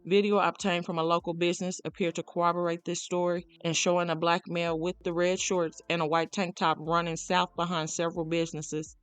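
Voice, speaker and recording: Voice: medium pitch, flat delivery, brisk, few pauses, a bit bright, smooth, dry recording. A narrator reading a scripted true crime documentary account.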